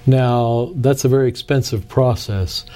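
A man's voice: mid-range voice, measured pace, mellow, faint music behind. A man hosting an interview, summarizing his guest's points and asking probing follow-up questions. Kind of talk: conversational interview.

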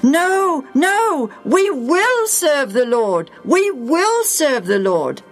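A woman's voice: sits high, lively intonation, a little slow, soft background music, voice pushed up. A woman voicing a crowd of devoted townspeople who make eager, pleading promises in a storybook reading.

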